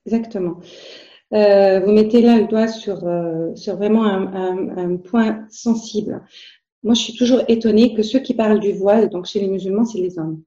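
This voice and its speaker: A woman's voice: mid-range voice, normal speed, dark tone, echoey room, lo-fi sound. A woman whose first language is Arabic, speaking in a casual interview as a guest, hesitant, with many fillers and restarts.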